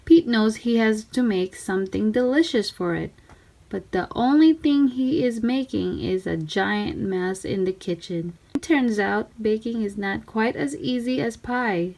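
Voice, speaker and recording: A woman reading a children's storybook aloud in simple, deliberate phrases. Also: fairly high pitch, animated, creaky, a little mellow.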